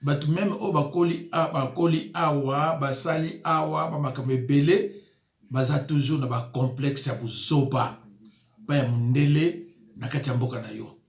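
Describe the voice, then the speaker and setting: A man's voice: on the high side, steady tone, moderate pace, gravelly, echoey room. Emphatic man preaching commentary. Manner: emphatic, indignant, with rising exclamations. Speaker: pastor. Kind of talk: commentary on news clips.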